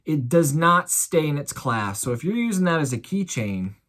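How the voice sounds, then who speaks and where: A man's voice: a little high, very animated, normal speed, fairly bright tone. An American man talking casually to viewers as an online reseller running through a sales recap in a chatty, folksy showman's way. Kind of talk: casual vlog recap of items sold.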